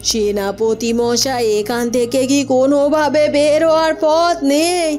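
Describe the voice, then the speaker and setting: A woman's voice: high voice, steady tone, a little slow, a little mellow. A woman voicing an anguished, imprisoned mother in a melodramatic cartoon, pleading and lamenting. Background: music.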